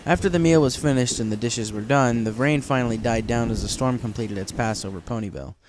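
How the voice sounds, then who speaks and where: A man's voice: medium pitch, a little fast, background noise. A man reading scripted story narration as the narrator of a fan tale.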